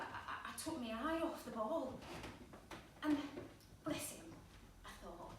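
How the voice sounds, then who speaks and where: A woman's voice: on the high side, lightly acted. An actress playing a wounded, sweary, self-deprecating young woman in a candid, blunt live stage monologue. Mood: hurt, wistful. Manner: candid, blunt, swinging between joking and hurt. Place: small pub theatre stage. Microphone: distant camera or audience microphone. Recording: echoey room from far off, noisy.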